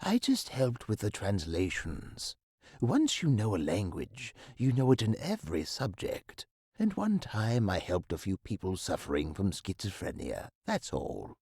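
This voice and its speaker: An actor playing a busy, modest academic professor, formal and articulate, somewhat fussy and evasive, in a video game. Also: male voice, lowish voice, wildly swooping pitch, a little mellow, breathy edge, no echo, studio quality, exaggerated voice. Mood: modest, dismissive. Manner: formal, articulate, slightly hurried. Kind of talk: scripted point-and-click game dialogue.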